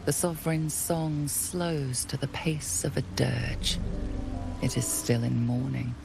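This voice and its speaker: A solemn female storyteller narrates a fantasy game in a strikingly deep voice with a gravelly edge. Her delivery is unhurried, atmospheric and mysterious, over clear music. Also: heavily acted. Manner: measured, atmospheric, deliberate. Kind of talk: scripted narration.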